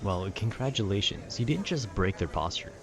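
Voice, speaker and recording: A man's voice: on the low side, expressive, moderate pace, music in the background. A man rambling casually and conversationally about video games in a nerdy, meandering way. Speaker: content creator.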